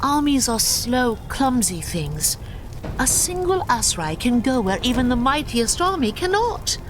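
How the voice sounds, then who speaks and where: A woman playing a noble, determined elf warrior in a fantasy game cutscene, with formal, measured speech. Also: fairly high pitch, big pitch swings, a little hiss or hum. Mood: resolute. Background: orchestral game music.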